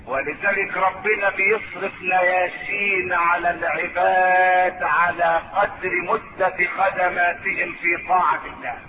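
A man with an Egyptian accent delivers a sermon in a high-pitched voice. He addresses his listeners as a storyteller, mixing everyday asides with stretched, declaimed phrases. The sound is thin and phone-like, with clear noise behind him. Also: little pitch movement. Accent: Egyptian Arabic. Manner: rhetorical, alternating colloquial asides with drawn-out declamation. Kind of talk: sermon to a congregation with storytelling and recited verse. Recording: noisy, narrow phone-like sound.

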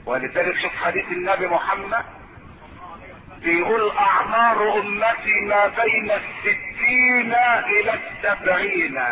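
Male preacher, Egyptian accent, high voice, sermon storytelling, rhetorical questions, declamatory phrasing, clearly noisy recording. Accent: Egyptian Arabic. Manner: rhetorical, alternating colloquial asides with drawn-out declamation. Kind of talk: sermon to a congregation with storytelling and recited verse. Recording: noisy, narrow phone-like sound.

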